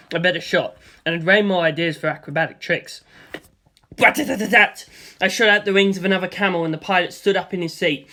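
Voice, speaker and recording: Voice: medium pitch, a bit bright. A narrator telling a first-person action story, voicing gunfire and explosions aloud. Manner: vivid storytelling with spoken sound effects for gunfire and explosions.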